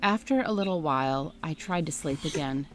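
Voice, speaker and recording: A woman reading a first-person story aloud in a steady, scripted narration style. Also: sits low, normal speed, faint music behind.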